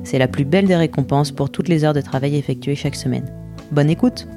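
A woman's voice: low-pitched, fairly quick, music in the background. French woman hosting a podcast. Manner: friendly, lightly hesitant when asking. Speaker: podcast host. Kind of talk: podcast intro and interview questions.

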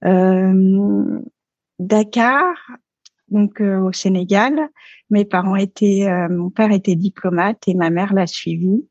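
Guest, woman, 30s, French, halting and reflective, dry slightly dull sound. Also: medium pitch, a little mellow, no room sound. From France. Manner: hesitant, many fillers and restarts. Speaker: podcast guest. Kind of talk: casual interview talk.

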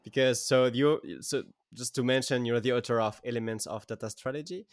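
A man's voice: medium pitch, fairly even intonation, brisk, no room sound, studio quality. A man hosting a podcast, asking questions in a casual interview, with many fillers and restarts. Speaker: podcast host.

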